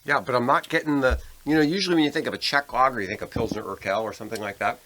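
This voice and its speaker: A man's voice: medium pitch, racing, pushed and bright, poor audio. An American man co-hosting a podcast in casual conversation over a beer. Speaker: podcast co-host.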